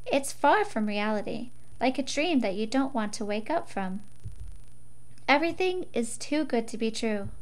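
A woman's voice: fairly high pitch, expressive, moderate pace. A woman narrating a visual novel expressively as she plays through it.

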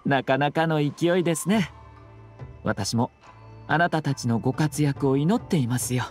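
An actor playing a composed young nobleman in an anime video game, speaking with polite, formal, measured phrasing and a subtly teasing slyness. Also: fairly high pitch, faint music behind, natural voice. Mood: gracious.

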